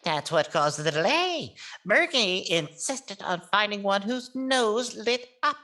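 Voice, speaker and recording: A woman's voice: mid-range voice, lively intonation, takes it slowly, pushed and bright. A woman playing a cheeky, wisecracking boy ventriloquist's dummy in 1940s radio comedy style. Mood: cheeky, mocking.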